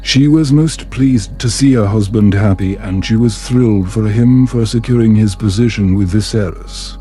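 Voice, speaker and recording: A man narrating a scripted bedtime story in a calm, soothing, measured manner. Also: lowish voice, soft background music. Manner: soothing, measured, unhurried delivery.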